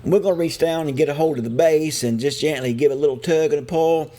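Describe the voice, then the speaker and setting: Man putting on a feisty old country grandfather, pitched up. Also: quick pace, dark tone, close to an everyday voice. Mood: coaxing, bossy. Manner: bossy, insistent country talk. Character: feisty old mountain grandfather, bossy and scheming.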